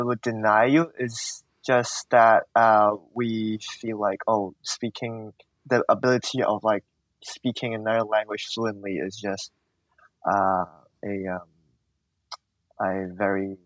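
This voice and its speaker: A man's voice: fairly low pitch, no echo, low-quality recording. A young man from Hong Kong with a Hong Kong accent, answering interview questions with lots of "uh" and "like". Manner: hesitant, many fillers like "uh" and "like". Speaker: guest.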